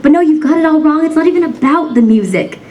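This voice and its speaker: A woman's voice: sits high, lively intonation, fast, darkish tone, distant mic. A young woman from the United States performing a spoken word poem in a rhythmic, rhyming cadence, emphatic and defiant. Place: banquet hall stage.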